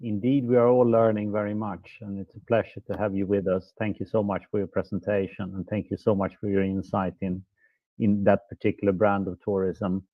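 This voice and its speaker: A man with a low voice asks long, measured questions at a panel discussion. His sound is dry and narrow, as if over a phone line. He speaks courteously and builds his point slowly. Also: flat delivery, no echo. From Sweden. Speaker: panel guest asking questions.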